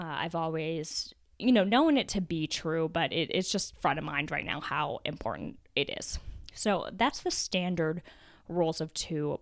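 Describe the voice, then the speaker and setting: A young adult woman with a cold, her voice raspy and deeper than usual, chatting casually on her solo podcast with fillers and restarts. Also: dry recording, studio quality. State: hoarse from a cold. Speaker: podcast host.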